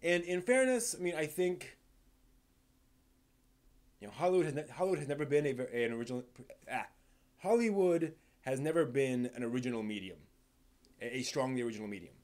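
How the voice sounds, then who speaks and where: Male live stream host, mid pitch. Conversational commentary, stop-and-start phrasing, frequent restarts, leans on key words and then drops quieter. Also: animated. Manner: rambling, halting, often restarts sentences. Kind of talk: casual live stream commentary on film and anime news.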